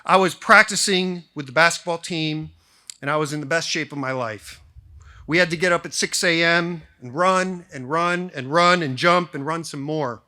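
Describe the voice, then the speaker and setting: A man in his forties with an American accent, delivering a prepared ceremonial speech in measured phrases with deliberate pauses. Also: on the high side, pushed and bright. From grew up in Japan, then suburban Detroit, US. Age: 40s. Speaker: school faculty member giving a valedictory speech. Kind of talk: prepared formal speech to graduating class.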